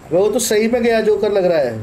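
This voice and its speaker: Brief: brash male streamer, thirties, high voice swinging widely, casual squad banter. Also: animated, brisk, mellow, slight background noise. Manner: brash, slangy teasing. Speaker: gaming streamer. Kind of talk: casual squad chat while gaming.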